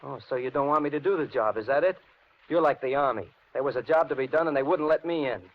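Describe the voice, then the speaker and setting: An actor playing a resentful, unstable young handyman in a 1950s radio suspense drama, accusing and taunting in a deliberate, brooding manner. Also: medium pitch, close to an everyday voice. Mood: bitter, accusing. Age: young adult. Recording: muffled, noisy 1950s radio recording.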